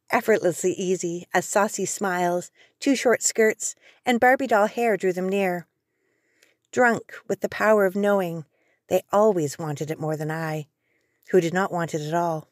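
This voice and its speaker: A woman from Canada, a poet, introducing herself and reading her own poem in an earnest, expressive way. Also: mid-range voice, no echo, studio quality. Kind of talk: poetry reading.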